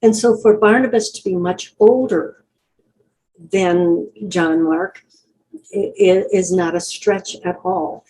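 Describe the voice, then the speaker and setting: Older lady doing a bible teaching, really slow and careful, lots of pauses, warm mellow voice. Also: on the low side, steady tone, rough recording. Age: older, great-grandmother. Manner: deliberate, explanatory, with many pauses.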